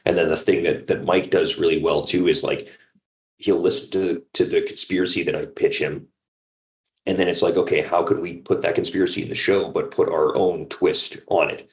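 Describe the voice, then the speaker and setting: A man's voice: deep voice, steady tone, moderate pace. A man who co-creates audio drama, chatting keenly in an interview and often checking in with the listener. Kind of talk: interview chat. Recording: roomy, echoing sound.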